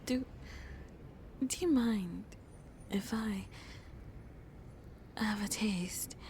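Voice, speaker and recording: A woman playing a shy, lonely vampire in an intimate ASMR roleplay, speaking softly and hesitantly, often half whispering. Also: mid-range voice, slight background noise. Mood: tender, seductive. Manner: slow, hesitant, halting, soft and breathy. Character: shy, lonely female vampire on a tender first date.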